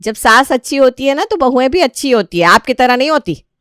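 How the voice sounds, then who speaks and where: A woman voicing a sharp-tongued, defiant middle-aged wife and daughter-in-law who argues back in an animated family story. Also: sits high, a little fast, clear, resonant tone, no echo, clean studio sound. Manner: argumentative, retorting.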